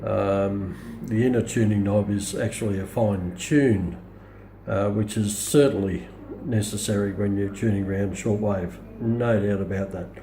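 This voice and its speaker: A middle-aged Australian man with a mellow, relaxed voice on the low side. He talks casually and hesitantly, pausing often as he demonstrates a product. The sound is roomy and echoey, recorded indoors on a camera mic. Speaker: hobbyist radio reviewer. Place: indoors on a hard countertop, small room. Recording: roomy echo, faint background noise.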